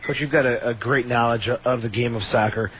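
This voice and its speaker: A man's voice: medium pitch, steady tone, fairly quick. A man hosting a sports radio call-in show, upbeat and moving the conversation along. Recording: dull lo-fi radio audio, faint noise.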